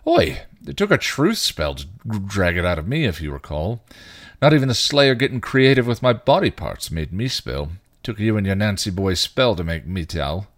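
A man voicing a bitter, guilt-ridden male vampire, rough and full of crude slang. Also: fairly low pitch, very animated, normal speed, dry recording, studio quality. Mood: angry. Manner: snarling, rough, clipped slang. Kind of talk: fan fiction reading.

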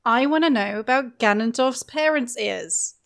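A woman's voice: a little high, unhurried, vocal fry. A woman chatting casually on a podcast about a video game, musing in a playful, jokey way. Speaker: podcast guest.

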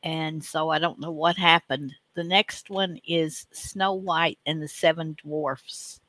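Male host, higher-pitched and very bright, informal and halting, recorded close and dry. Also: steady tone, no room sound. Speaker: radio show host. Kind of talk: casual unscripted announcements.

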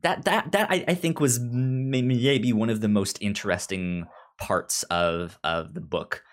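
A man co-hosting a casual discussion podcast, talking haltingly and often repeating sounds and words as he goes. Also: on the low side. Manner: halting, frequent stammered repetition of sounds and words. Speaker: podcast co-host. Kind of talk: casual book discussion chat.

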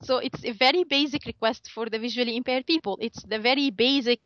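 A woman whose first language is Arabic, speaking as an interview guest and often pausing on filler sounds. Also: a little high, fairly bright tone, no echo, dull audio. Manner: hesitant, many filler sounds, laughs often. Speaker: interview guest, assistive tech volunteer. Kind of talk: conversational interview.

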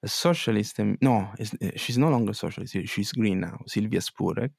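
Italian male co-host, low and gravelly, talks haltingly with restarts. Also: a little mellow, no echo, clean studio sound. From Italy. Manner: halting, with many restarts and fillers. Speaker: podcast co-host. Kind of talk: casual policy news chat.

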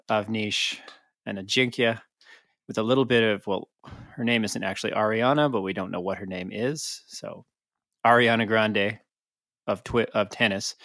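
Low-voiced man, dry close sound. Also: a bit bright, dry recording. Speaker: podcast co-host. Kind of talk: casual podcast chat.

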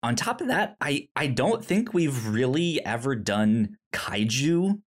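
A man co-hosting a podcast in casual chat, halting and stammering over repeated words. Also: mid-range voice, very animated. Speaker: podcast co-host. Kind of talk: casual chat about shows and films.

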